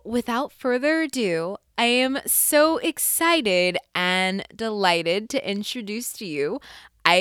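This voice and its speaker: A woman's voice: a little high, fairly bright tone, clear, resonant tone, no echo, studio quality. A woman hosting a podcast, presenting and interviewing. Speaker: podcast host.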